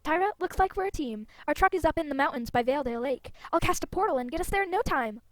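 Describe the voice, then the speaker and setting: A woman's voice: sits high, animated, fast, no echo. A woman reading out the lines of a bold, hot-tempered young female rider in a fantasy game. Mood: eager, determined.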